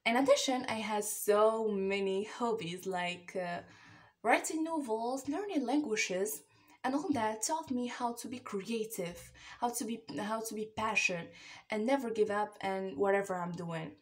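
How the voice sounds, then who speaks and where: An eighteen-year-old woman from Morocco with a Moroccan accent gives a motivation speech to camera in hesitant, halting non-native English. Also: mid-range voice, animated. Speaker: university applicant. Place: small plain indoor room.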